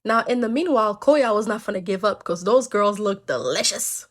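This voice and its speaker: A woman's voice: medium pitch, animated, moderate pace. A woman telling folktales in a casual, chatty way, tossing in joking asides to listeners. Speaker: storyteller.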